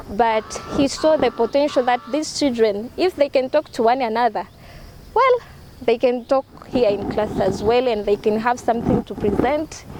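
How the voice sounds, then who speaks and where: A woman with a Tanzanian accent, a teacher coach telling a classroom story in a webinar, lively when she quotes the children. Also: a little high. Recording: noisy call with background noise.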